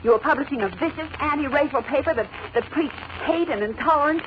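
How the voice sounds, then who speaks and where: A woman's voice: high voice, fast, lightly acted. An actress playing a plucky, dramatic young woman reporter in a 1940s radio drama. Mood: indignant. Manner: dramatic, emphatic. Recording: muffled, noisy vintage radio recording.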